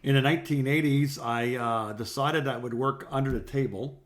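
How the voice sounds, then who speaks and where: A man's voice: mid-range voice, steady tone, moderate pace. A middle-aged man co-hosting a podcast, telling rambling, self-deprecating stories in casual conversation. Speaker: podcast co-host. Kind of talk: casual chat on a phone call podcast.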